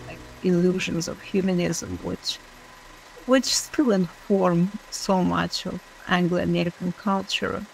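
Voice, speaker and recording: Low, creaky-voiced woman expert. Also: fairly even intonation, takes it slowly, slight background noise, low-quality recording. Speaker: interviewed academic expert. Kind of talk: unscripted interview commentary. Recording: music behind the voice.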